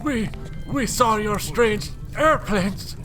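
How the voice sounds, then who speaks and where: A woman's voice: mid-range voice, slow pace, a bit bright, soft background music, low-quality recording. A woman playing a frightened villager with a melodramatic manner in an adventure motion comic. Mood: fearful, grim. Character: frightened, dramatic villager of occupied countryside.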